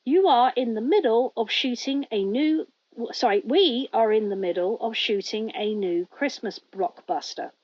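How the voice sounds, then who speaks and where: A woman voicing a hesitant, urgent stranger pleading over studio loudspeakers in an audio story. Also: fairly high pitch, lo-fi sound, close to an everyday voice. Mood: worried, pleading. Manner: hesitant, halting.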